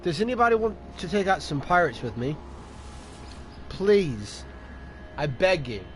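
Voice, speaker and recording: A man's voice: high-pitched, big pitch swings, measured pace, a little hiss or hum. A man with a slight British accent talking casually over his solo gameplay, asking for company and now and then laughing and whooping. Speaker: gamer streaming solo play. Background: game music.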